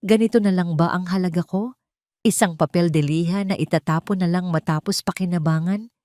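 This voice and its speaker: A woman's voice: lowish voice, brisk, dark tone, no room sound, studio quality. A woman narrating a scripted first-person drama story in an emotive, solemn manner.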